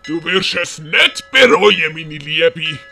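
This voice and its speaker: A woman voicing a sly, scheming man who wheedles slowly in an audio play. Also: deep voice, animated, vocal fry, sharp tone, faint music behind, pitched below the performer's own voice, heavily acted. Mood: scheming, pleased. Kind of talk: German-language audio play acting.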